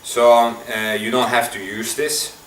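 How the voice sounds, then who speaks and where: A man's voice: medium pitch, little pitch movement, a little slow. Man demonstrating in an echoey room. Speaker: product demonstrator. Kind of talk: unscripted product demonstration. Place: indoor room at a table. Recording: echoey room, distant mic, faint noise.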